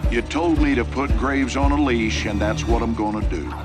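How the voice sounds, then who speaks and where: An actor playing a stern American general, older, blunt and guarded, speaking curtly. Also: male voice, medium pitch, moderate pace, over music, natural voice. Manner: curt, gruff and defiant.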